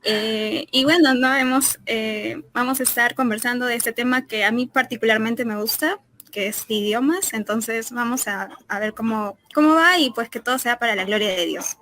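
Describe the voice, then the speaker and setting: A woman's voice: a little high, moderate pace, a bit bright. A woman with a Latin American Spanish accent co-hosting a casual live interview, informal and a little hesitant, with neighborhood noise behind her. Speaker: interview co-host. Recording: clear background noise from the neighborhood.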